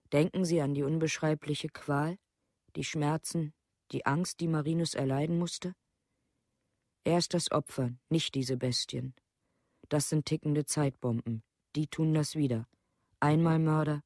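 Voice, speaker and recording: Radio drama: grieving mother, mellow dark voice, dry close sound, formal and bitterly accusing. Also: low-pitched, dry recording. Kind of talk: documentary radio play, read-out plea.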